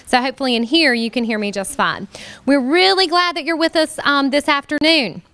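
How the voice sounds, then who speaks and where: A woman's voice: high-pitched, brisk, a bit bright. A woman opening a college panel, projecting her teacher voice to the room with frequent ums. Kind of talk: event welcome speech. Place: warm college room with an audience.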